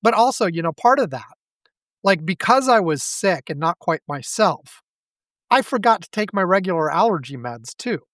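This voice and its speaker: A man co-hosting a casual podcast chat, speaking with a scratchy throat and stuffy head, rambling and halting. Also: high-pitched, sing-song, a bit bright, no echo. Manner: rambling, halting, self-deprecating. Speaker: podcast co-host.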